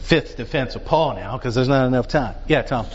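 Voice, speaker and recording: A man teaching a Bible class, talking in an animated way and dotting his points with rhetorical questions. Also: mid-range voice, moderate pace, a little hiss or hum. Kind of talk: lecture with audience questions. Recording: dull sound with long reverb in a hall.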